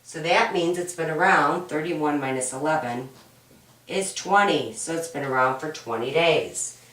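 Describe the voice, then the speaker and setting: A woman with a low, hoarse, raspy voice from a cold walks through homework problems one step at a time. She is patient and keeps checking in with "right?". The recording sounds roomy and distant, as if picked up from across the room. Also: unhurried, fairly bright tone. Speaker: accounting instructor. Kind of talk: homework walkthrough lesson. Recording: roomy echo, mic at a distance.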